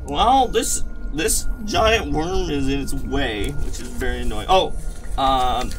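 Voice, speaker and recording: A man's voice: mid-range voice, slow pace, over music, low-quality recording. A man acting as game master in a casual tabletop game, thinking aloud as he works through the rules.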